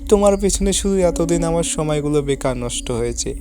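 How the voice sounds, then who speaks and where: A man's voice: on the high side, lively intonation, brisk, close to an everyday voice. A man playing a heartbroken, poor young lover in a cartoon, earnest, wounded and melodramatic. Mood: bitter resignation. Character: heartbroken, lovesick poor young man pleading with his beloved. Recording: music behind the voice.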